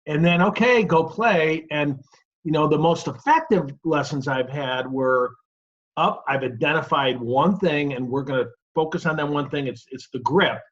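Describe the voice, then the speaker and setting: A man's voice: fairly high pitch, moderate pace, a bit bright, highs cut off. A middle-aged man hosting a conversational interview, speaking in short phrases and sharing personal examples. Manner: breaks points into short phrases, uses personal anecdotes. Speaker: interview host.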